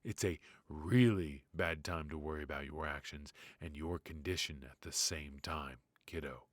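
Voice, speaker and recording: A man playing a ruthless but protective mafia boss in an intimate noir roleplay, murmuring close to the mic with hesitant pauses and quiet menace. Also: a bass voice, no echo. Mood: coaxing concern. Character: brilliant, ruthless male mafia boss, possessive yet protective. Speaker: voice actor. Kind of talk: noir ASMR roleplay, intimate dramatic monologue. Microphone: close studio mic, dry.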